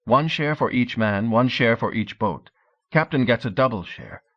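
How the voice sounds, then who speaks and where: A man's voice: medium pitch, normal speed, lo-fi sound, natural voice. A man voicing a cheerful, eager young Norse man with a thick accent. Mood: explaining. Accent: Norse-accented English. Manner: excited, eager.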